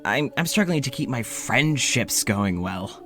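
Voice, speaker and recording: A man voicing a lonely, sleepless man confiding in his audio journal, halting and self-doubting, with frequent stammers and sighs. His voice sits at an ordinary middle pitch, and faint music plays underneath in this audio drama. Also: animated, fairly bright tone. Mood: weary.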